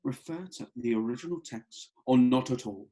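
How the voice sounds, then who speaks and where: A man's voice: mid-range voice, moderate pace, dark tone, natural voice. A man acting an affable, cheeky, slightly hapless young man in a comedy script read, with hesitant, wry colloquial delivery. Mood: amused.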